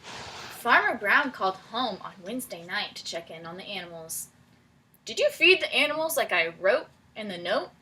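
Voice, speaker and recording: A woman reading a picture book aloud to children as their teacher, pausing to prompt them with questions in an encouraging way. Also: mid-range voice, sing-song, sharp tone, slight background noise, poor audio. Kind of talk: read-aloud storytelling with questions to young listeners.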